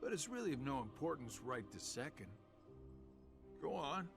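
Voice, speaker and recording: An actor playing a sly, laid-back schemer in a video game, with a drawling, sardonic delivery. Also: male voice, mid-range voice, big pitch swings, normal speed, vocal fry, over music. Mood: evasive, casual. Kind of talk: dramatic video game cutscene acting.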